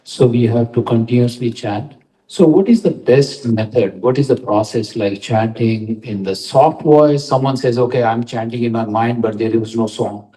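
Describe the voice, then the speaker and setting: A man in the audience asks a polite, rambling question, circling back to rephrase it. His tone is mellow and dark, and he is picked up from a distance. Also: mid-range voice. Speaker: audience member asking a question.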